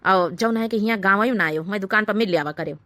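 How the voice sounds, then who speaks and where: A woman's voice: mid-range voice, fast talker, bright, forceful tone, close to an everyday voice. A woman voicing a sneaky, short-tempered village husband in a comedy cartoon, with a brusque, rustic Awadhi accent. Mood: furtive.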